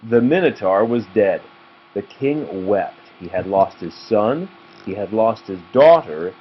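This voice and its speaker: Male storybook reader, parent reading to child, lower pitch, muffled home recording. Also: expressive, measured pace, slight background noise. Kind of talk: storybook read-aloud narration.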